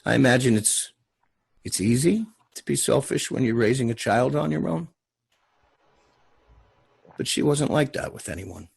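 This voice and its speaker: An earnest, fatherly figure in a television drama, read by a man. His husky voice rises and falls widely, halting and then pressing each point as he consoles. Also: mid-range voice, animated, no echo, exaggerated voice. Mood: tender, wistful.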